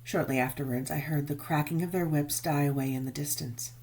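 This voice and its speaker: A woman reading a gothic novel as dramatic narration, measured and expressive, with drawn-out emphasis. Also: sits low. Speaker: audiobook narrator.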